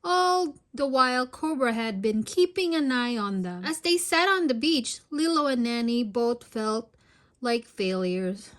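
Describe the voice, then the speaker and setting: High-pitched woman reading a children's story. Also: expressive, a little slow. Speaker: storybook read-aloud narrator. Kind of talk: expressive children's storytime narration.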